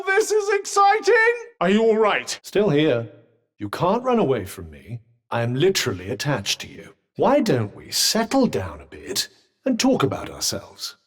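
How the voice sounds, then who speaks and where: A man's voice: fairly high pitch, exaggerated voice. A man voicing a witty, sarcastic male magic bracelet bound to the hero, in game voice lines. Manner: dry, sarcastic quips.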